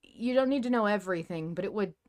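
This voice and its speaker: Animated woman chatting as podcast host. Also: medium pitch, fast. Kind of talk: casual fan discussion.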